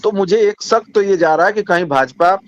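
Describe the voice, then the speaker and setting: A man with a Bihari accent speaking as a politician in a phone interview, insistent, repeating himself and halting as he argues. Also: high-pitched. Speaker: communist party politician. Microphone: phone call. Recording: dull lo-fi phone call recording.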